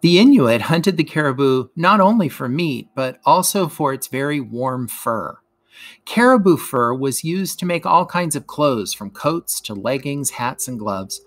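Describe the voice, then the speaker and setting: A man's voice: mid-range voice, lively intonation, a little slow, a bit bright. A man narrating a scripted educational lesson as a teacher reading aloud.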